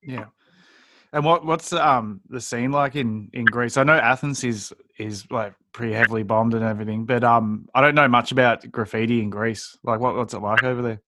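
A man's voice: mid-range voice, fairly even intonation, moderate pace, bright, forceful tone, no room sound. A man hosting a podcast, asking questions in a casual, conversational way. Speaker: podcast host. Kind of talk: casual interview chat.

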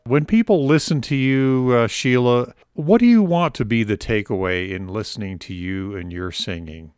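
Male interviewer, medium pitch, close dry sound. Conversational questions, polite, a touch hesitant. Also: normal speed, darkish tone, clean tone, no room sound.

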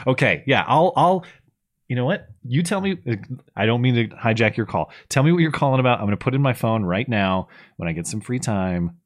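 A man hosting a call-in talk show, rambling and enthusiastic as he thinks out loud with his caller. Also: mid-range voice, lively intonation, moderate pace. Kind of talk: casual call-in chat.

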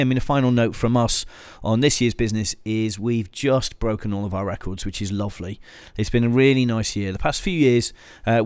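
Middle-aged british guy with a cold, bit husky, chatty podcast ramble, dry close mic. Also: on the low side, a little mellow, no echo. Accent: British English. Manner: chatty, self-deprecating, many "uh" fillers. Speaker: podcast host, photographer. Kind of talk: solo podcast monologue. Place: small cold studio. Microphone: studio microphone with headphones.

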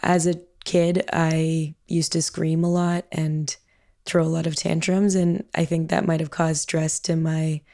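A woman artist talking reflectively about her work, with a low voice of limited range and frequent pauses and fillers. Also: little pitch movement, warm tone, no echo, studio quality. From Canada. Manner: thoughtful, with frequent ums and hedging "kind of". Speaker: artist and audio producer. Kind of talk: reflective interview answer.

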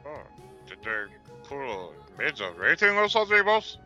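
A man playing a weary, slow-witted giant wrestler in a comedic audio play, halting and stammering. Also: medium pitch, sing-song, bright, forceful tone. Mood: eager. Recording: muffled, music underneath.

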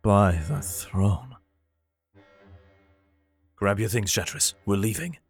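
A man's voice: fairly low pitch, slow, soft background music. An actor playing an anxious, haunted father in a sci-fi audio drama, with an intense, theatrical delivery. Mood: weary, tense. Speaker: lead actor and narrator.

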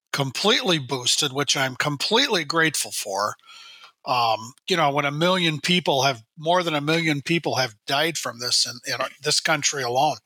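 A man in his sixties, hoarse while recovering from illness, rambling and restarting his sentences in a casual radio chat. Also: fairly high pitch, sharp tone, no room sound. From Minnesota. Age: 60s. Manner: rambling, self-correcting storyteller. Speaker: show regular, state high school sports guide.

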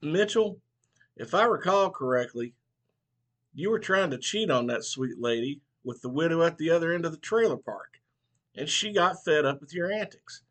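A man's voice: medium pitch, steady tone, brisk, fairly bright tone, close to an everyday voice. A man voicing a cranky eighty-one-year-old male barber who teases bluntly in a folksy way. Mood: scolding. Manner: blunt, teasing, folksy drawl.

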